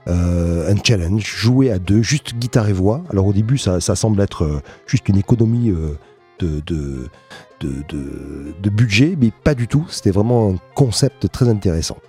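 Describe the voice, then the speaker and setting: A man, a guitarist, answering radio interview questions in a relaxed, hesitant way with many pauses. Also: on the low side, husky, faint music behind. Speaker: guitarist and guest.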